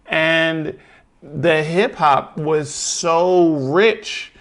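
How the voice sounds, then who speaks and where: Talk host from New York, effusive and slangy, bright voice with a gravelly edge, roomy echo. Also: male voice, fairly high pitch, fairly even intonation, drawn-out words. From New York City. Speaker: podcast host. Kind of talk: casual interview chat.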